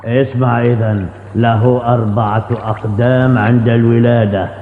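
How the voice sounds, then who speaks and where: A man's voice: medium pitch, flat delivery, moderate pace, slight background noise, close to an everyday voice. A man voicing a stern, taunting monster who sets riddles in a commanding way for a dubbed cartoon. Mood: ominous. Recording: phone-like band, cavernous reverb.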